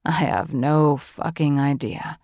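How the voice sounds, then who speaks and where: A woman voicing a brash, blunt adult man in an audiobook who speaks low and swears. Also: takes it slowly, darkish tone, no room sound, highs cut off. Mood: gruff.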